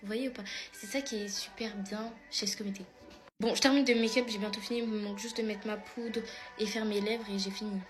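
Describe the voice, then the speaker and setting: A woman's voice: mid-range voice, steady tone, fairly quick. A teenage girl chatting casually in a get-ready-with-me vlog, answering her followers' questions with lots of fillers. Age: teens. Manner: chatty, many fillers, addresses followers as "mes stars". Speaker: beauty pageant contestant vlogger. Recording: music playing under the voice. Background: background music.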